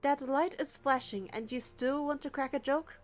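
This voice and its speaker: High-voiced woman narrating a story over music. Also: normal speed. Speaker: player narrating a game playthrough. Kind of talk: expressive story narration, read aloud.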